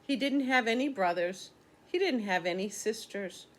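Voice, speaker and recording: A woman's voice: medium pitch, expressive, moderate pace. An older woman reading a children's story aloud, explaining things simply as a teacher would to young listeners.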